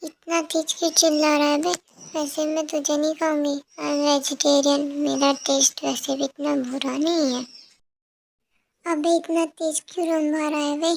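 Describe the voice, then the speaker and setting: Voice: sits high, little pitch movement, moderate pace, vocal fry. A voice actor playing a vain, sassy female mermaid who talks in brash street slang.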